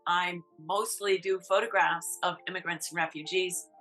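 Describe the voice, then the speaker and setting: A woman photographer from New Hampshire speaking candidly, a little halting, about her experience. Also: fairly low pitch, steady tone, fairly bright tone, faint music behind. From New Hampshire, USA. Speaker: interviewee, photographer. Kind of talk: reflective testimony.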